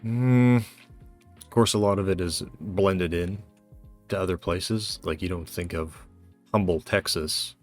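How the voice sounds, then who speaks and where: A man casually thinking aloud as he hosts a guessing game video, halting and muttering to himself. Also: low voice, soft background music. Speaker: host of a geography guessing challenge video.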